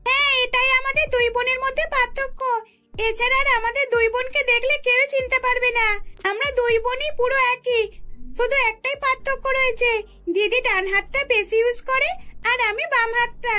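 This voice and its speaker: A woman's voice: very high voice, steady tone, a little fast, sharp tone, pitched above the performer's own voice. A woman voicing a scheming young twin sister in a cartoon, playful and wheedling in manner. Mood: playful, teasing.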